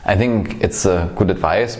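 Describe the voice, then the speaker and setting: A man with a deep voice hosts a conversational podcast interview. He phrases his questions in a measured, deliberate way. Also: darkish tone.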